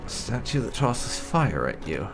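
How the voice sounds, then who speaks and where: A man's voice: medium pitch, sing-song, measured pace. A man giving casual, dryly wry commentary while playing a roleplaying video game. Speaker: gaming channel host. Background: game music.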